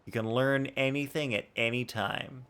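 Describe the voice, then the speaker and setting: A man's voice: medium pitch, steady tone, moderate pace, a bit bright, smooth. A man streaming a video game, giving casual running commentary as he plays. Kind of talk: casual live gameplay commentary. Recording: game music behind.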